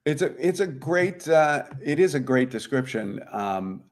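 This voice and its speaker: A man's voice: mid-range voice, expressive, a little slow. A man speaking as an interview guest, giving conversational, explanatory answers. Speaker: guest, puzzlemaker.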